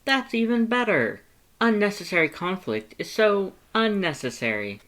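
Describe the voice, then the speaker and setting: Loud high cartoon skeleton, super proud and earnest, talks all formal and punchy. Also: male voice, big pitch swings, moderate pace. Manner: bombastic, formal, emphatic.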